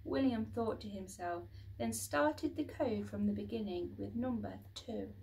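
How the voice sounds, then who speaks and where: Slow-paced woman reading a children's story. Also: fairly high pitch, gravelly, a little mellow, far from the mic, rough recording. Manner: dramatic, with acted sound words. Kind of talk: expressive storybook narration.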